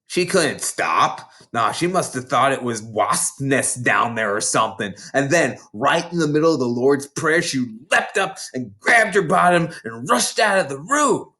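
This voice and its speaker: A man's voice: mid-range voice, animated, measured pace, hardly any pauses, pushed and bright. A man voicing a boastful, battle-hardened schoolgirl prankster who tells dramatic war stories from a children's storybook. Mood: gleeful.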